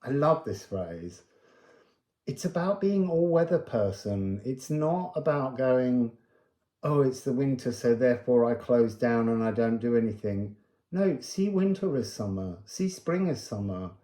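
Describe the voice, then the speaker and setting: A man giving an unscripted spiritual card reading, musing aloud with pauses and emphatic phrases. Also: mid-range voice, expressive, warm tone, roomy sound. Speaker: card reader and spiritual guide.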